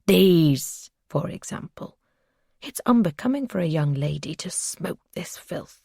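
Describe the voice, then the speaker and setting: A woman voicing an obsessive male captor who speaks in soft, breathy whispers. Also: lowish voice, animated, unhurried, no echo, clean studio sound, full character voice. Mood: whispered menace.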